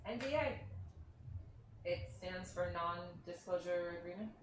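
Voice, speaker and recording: A woman's voice: lowish voice, lightly acted. Businesslike woman acting as recruiter, echoey room. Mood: reassuring, insistent. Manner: brisk, reassuring, businesslike. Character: smooth, businesslike female recruiter pushing a contract. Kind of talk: staged play reading. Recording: echoey, distant, faintly noisy reading audio.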